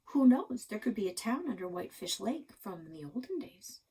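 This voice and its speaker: A woman's voice: medium pitch, big pitch swings, normal speed, warm tone, slightly rough. A woman voicing an exasperated, argumentative child in a children's storybook, insistent and combative. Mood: one-upping. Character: exasperated, argumentative child narrator arguing back.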